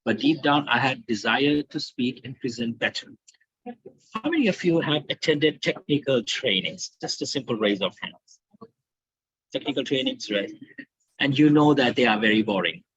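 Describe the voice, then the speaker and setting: Husky Indian man giving an online talk. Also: mid-range voice, animated, normal speed, a bit bright, dry recording. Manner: earnest, storytelling with rising emphasis. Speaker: guest speaker. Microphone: video call. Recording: slightly dull online call audio.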